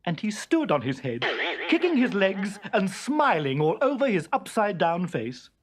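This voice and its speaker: A man narrating a children's story dramatically, expressive and suspenseful, savouring every sound word. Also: sits high, moderate pace, a crowd in the background.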